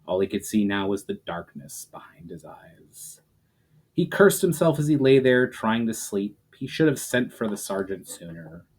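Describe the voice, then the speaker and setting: A man reading fantasy fiction aloud as a dramatic, measured narration. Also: fairly low pitch, sing-song, a little mellow.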